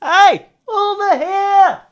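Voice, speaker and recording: Shrill plucky skeleton boy. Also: extremely slow, highs cut off, heavily acted. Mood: excited, calling out. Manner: yelling, exclamatory. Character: plucky animated skeleton boy, loyal, brave, cheeky. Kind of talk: fantasy audio play.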